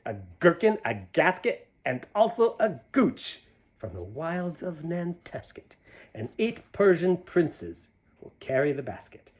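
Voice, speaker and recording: A man reads a rhyming children's book aloud with a lot of melodic swing in his fairly high voice. His delivery is playful and theatrical, and he slips into casual asides to whoever is listening. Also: a little mellow. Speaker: storybook reader. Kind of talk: animated read-aloud of a rhyming children's storybook with chatty asides.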